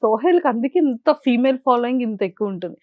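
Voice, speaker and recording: A woman's voice: on the high side, animated, fast talker, no room sound, phone-quality sound. A woman interviewer hosting a casual celebrity chat, speaking Telugu with some English.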